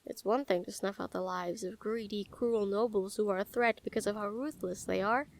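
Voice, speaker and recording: A woman playing a calm, menacing yet smitten assassin in an intimate audio roleplay, speaking softly and teasingly with deliberate pauses. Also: medium pitch, gravelly, natural voice. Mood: smitten, confiding. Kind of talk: intimate scripted audio roleplay addressed to the listener.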